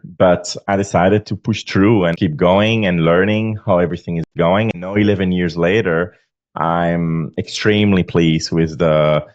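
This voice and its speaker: A deep, low-voiced man in his forties speaks with a Belgian accent. He talks earnestly and stops often on fillers. The sound is dry and a little dull, like a remote lo-fi line. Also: a little mellow, dry recording. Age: 40s. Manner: earnest, halting with many fillers. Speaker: guest author. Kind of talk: podcast interview.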